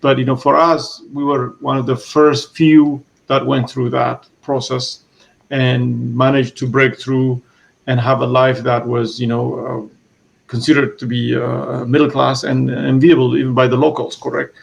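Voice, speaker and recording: A man from Eritrea recounts his family's past in an interview. His voice has a rough, gravelly edge at a middling pitch. He speaks haltingly, often restarting and repeating words. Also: flat delivery, poor audio. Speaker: author being interviewed. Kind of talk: reflective storytelling.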